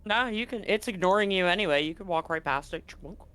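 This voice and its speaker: A game master with a mid-range voice narrates a tabletop roleplaying game. The delivery is casual and halting, with phrases reworked along the way. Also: mid-range voice, animated, pushed and bright, clear, resonant tone.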